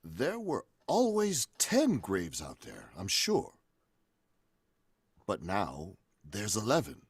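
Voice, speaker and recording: A man's voice: lowish voice, huge pitch swings, slow pace, exaggerated voice. A man playing an uneasy real estate agent, acted in the manner of a 1940s radio horror drama. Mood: uneasy, baffled.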